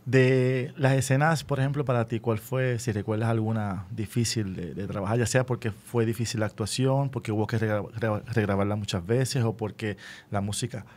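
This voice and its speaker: A man's voice: on the low side, steady tone, normal speed, a little mellow. A Puerto Rican man hosting a conversational radio interview, explaining things and often checking in with small tag questions.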